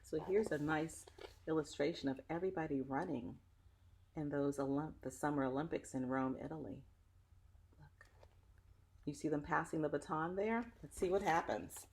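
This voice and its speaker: A woman's voice: deep voice, moderate pace, long pauses, darkish tone. A woman reading a picture book aloud expressively and dramatically, adding chatty asides straight to her listeners. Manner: dramatic, engaging, addressing listeners directly. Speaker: read-aloud storyteller.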